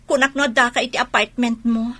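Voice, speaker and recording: A woman's voice: a little high, steady tone, fairly quick, pushed and bright, no room sound. An actress playing an anxious, suspicious soldier's wife in an Ilocano radio drama, pointed and plaintive as she questions.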